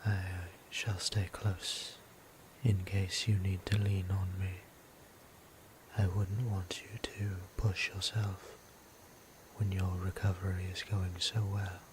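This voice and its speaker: A man playing a gentle, centuries-old vampire priest in an intimate audio roleplay, speaking formally and tenderly in a breathy half-whisper. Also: low-pitched, little pitch movement, noisy background. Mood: gentle, solicitous. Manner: formal, measured, tender, old-fashioned phrasing. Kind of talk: intimate scripted roleplay, softly acted.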